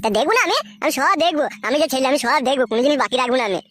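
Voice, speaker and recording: An actor as a whiny, crude young husband. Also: male voice, very high voice, animated, racing, noisy background. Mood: accusing. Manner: whining, crude, tale-telling. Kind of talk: comic cartoon dialogue.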